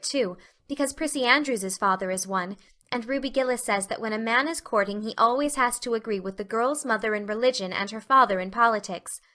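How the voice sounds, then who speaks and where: Woman playing a bright-voiced, earnest schoolgirl. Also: fairly high pitch. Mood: chatty, curious. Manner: earnest, wordy, melodramatic. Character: earnest, dramatic, chatty young schoolgirl. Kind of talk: storybook character dialogue.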